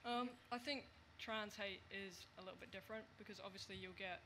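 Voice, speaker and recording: A man's voice: sits high, fairly even intonation, brisk, sharp tone. Young man on a panel, noisy hall. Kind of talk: panel discussion.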